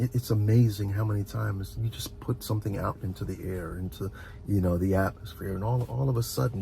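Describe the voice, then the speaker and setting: A man's voice: on the low side, gravelly, warm tone. A man giving a rambling, motivational ASMR talk in an earnest, encouraging way, repeating phrases for emphasis. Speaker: ASMR video host.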